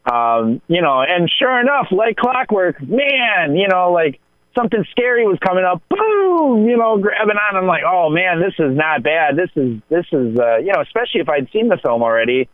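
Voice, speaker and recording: A man's voice: high-pitched, very animated, normal speed, no echo, phone-quality sound. An American man telling rambling, digressive stories in casual film talk, as a guest on a radio show. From United States.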